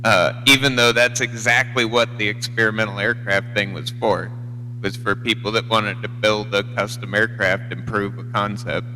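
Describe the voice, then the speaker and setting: A fairly low-voiced American man rambles casually over a CB radio. His voice is bright and forceful, heard through long reverb with a faint noisy hum. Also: flat delivery, moderate pace. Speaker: CB radio operator. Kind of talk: casual chat. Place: live CB radio feed.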